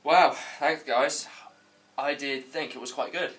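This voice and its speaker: A man's voice: mid-range voice, fairly even intonation, unhurried, fairly bright tone, lightly acted. A man playing a naive, self-satisfied aspiring actor, earnest and clueless, in a comedy table read. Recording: table read with faint noise.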